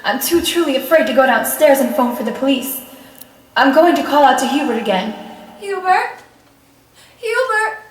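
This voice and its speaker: Woman acting as terrified fragile wife, high voice, anxious, halting, radio thriller, distant in an echoey hall. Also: animated. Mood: frantic calling. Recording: echoey hall, distant mic, background noise.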